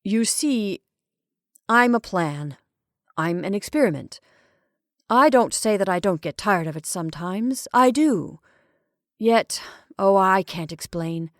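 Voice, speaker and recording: A woman's voice: mid-range voice, expressive, slow, long pauses, a bit bright, no room sound. A woman reading as an earnest, pedantic young male scholar who lectures in precise, formal sentences, recorded dry and close.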